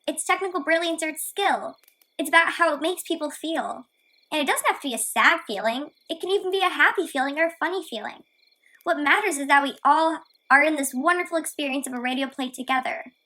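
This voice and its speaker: A woman's voice: high-pitched, fairly quick, pushed and bright. A woman playing a plucky, optimistic girl heroine in a radio play, chatty and matter-of-fact. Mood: encouraging. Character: plucky, optimistic girl heroine who contradicts her narrator.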